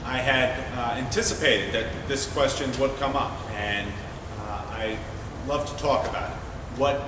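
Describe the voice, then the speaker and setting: A man running for mayor, laying out his plans at a forum in a measured, methodical way with frequent "uh" fillers. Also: mid-range voice. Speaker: mayoral candidate. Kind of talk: campaign forum speech. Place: large meeting hall. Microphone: distant room mic. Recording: echoey hall from far off, noisy.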